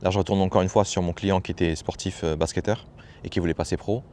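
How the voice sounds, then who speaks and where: A man's voice: low-pitched, steady tone, fast, darkish tone, slight background noise. A man giving a casual motivational talk as a personal development coach, familiar and slangy, hammering points home by repeating phrases.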